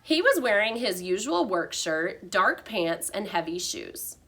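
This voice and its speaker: A woman reading a children's storybook aloud like a teacher, with expressive narration. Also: mid-range voice, a little slow, husky.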